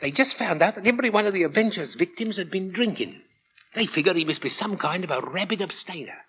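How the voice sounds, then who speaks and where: An actor playing an eager, chatty working-class English husband in a 1940s radio thriller, colloquial and excitable. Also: male voice, high-pitched, quick pace. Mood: chatty, cosy. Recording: dull, hissy vintage radio recording.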